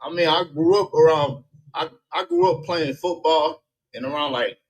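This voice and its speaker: A man whose first language is Somali, born in Somalia, talking with a calm, laid-back, slangy manner as a guest on a live stream and trying to keep things friendly. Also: fairly high pitch, normal speed, low-quality recording. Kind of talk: casual argument on a live stream.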